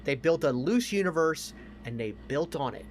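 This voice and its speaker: Emphatic man with slightly higher voice, noisy recording. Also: lively intonation, normal speed. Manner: emphatic, profane, opinionated. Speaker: podcast co-host. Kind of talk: casual film and game discussion.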